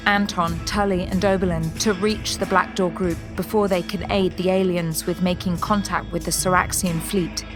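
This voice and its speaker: Solemn woman narrator over prominent music. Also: mid-range voice. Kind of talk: scripted dramatic audio drama narration.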